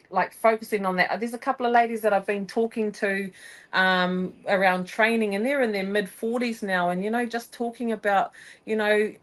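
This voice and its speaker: A woman chats as a guest on a podcast. She talks in bursts, full of energy, breaking off and restarting her sentences as her thoughts run ahead. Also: mid-range voice. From New Zealand. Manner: energetic, rambling, self-interrupting. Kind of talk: casual conversation.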